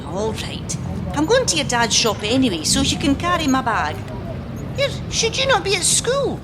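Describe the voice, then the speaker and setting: Radio play: kindly, fed-up Scottish woman, played by an amateur actress. High pitch, very animated, expressive. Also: moderate pace, noisy background. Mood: flustered, concerned. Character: Mabel, fed-up kindly woman craving a day off.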